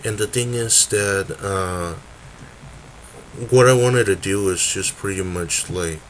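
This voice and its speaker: A young man making a casual webcam announcement from a dim room, talking haltingly with many fillers and restarts. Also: low voice, a little hiss or hum, low-quality recording. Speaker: video creator.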